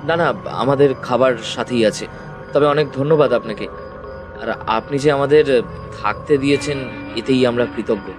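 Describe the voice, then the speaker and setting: A man's voice: mid-range voice, moderate pace, long pauses, over music, natural voice. A man playing a polite, practical young man who placates others in an audio horror story.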